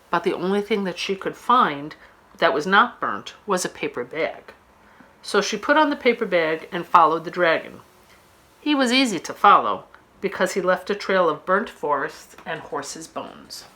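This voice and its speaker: A woman's voice: on the low side, sing-song, moderate pace, bright, forceful tone. A woman storyteller reading a children's book aloud, expressively and with dramatic emphasis.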